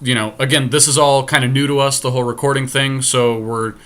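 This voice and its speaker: A male podcast co-host with a mid-pitched, clearly bright and forceful voice. He gives casual commentary in an unscripted, conversational way. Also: fairly even intonation, brisk.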